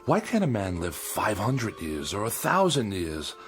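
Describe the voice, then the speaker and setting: An American actor playing a peevish, self-pitying, suspicious hypochondriac husband in a querulous, melodramatic 1960s television drama style. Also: male voice, lowish voice, huge pitch swings, measured pace, heavily acted. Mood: despairing lament. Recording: vintage TV soundtrack with music behind. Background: music.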